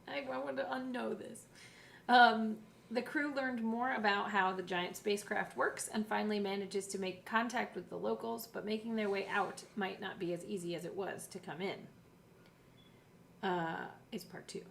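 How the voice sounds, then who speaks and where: Female co-host, gravelly fry, informal chatter and read-aloud recaps, light noise behind. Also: mid-range voice, fairly even intonation, moderate pace, a bit bright. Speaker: co-host and player. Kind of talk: casual chat, reading episode summaries aloud.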